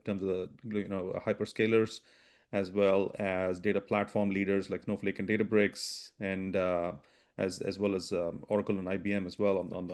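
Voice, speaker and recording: A man presenting a business webinar, talking quickly with frequent restarts and "right?" tags. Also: low voice, darkish tone. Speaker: webinar presenter, product strategy VP.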